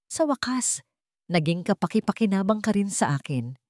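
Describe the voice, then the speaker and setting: A woman's voice: mid-range voice, sing-song, moderate pace, a little mellow, dry recording, clean studio sound, voice pushed up, lightly acted. A woman with a Filipino accent playing a vain, jealous older sister in an audiobook, falsely sweet and coldly controlled. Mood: gloating. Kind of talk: audiobook villain monologue.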